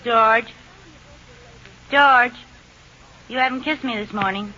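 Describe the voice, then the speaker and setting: A scatterbrained American housewife in an old radio comedy. Her voice is high and very sharp, swinging theatrically between demanding and timid. The recording is dull, with some hiss. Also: takes it slowly. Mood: annoyed. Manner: theatrical, exasperated, pleading. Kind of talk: 1940s radio comedy acting.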